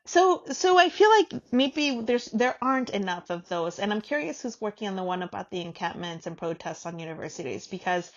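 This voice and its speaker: A woman talks as a podcast co-host in a loose, conversational debating style. Her pitch sits in the middle of the female range, and she is frank and informal, quick to challenge. The recording is a little dull and lo-fi. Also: expressive.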